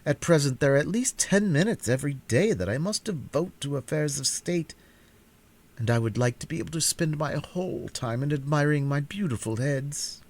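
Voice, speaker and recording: A man's voice: expressive, normal speed, darkish tone. A man voicing a vain, haughty princess who flies into fury, in a storybook reading. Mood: eager. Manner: shrill, imperious outbursts.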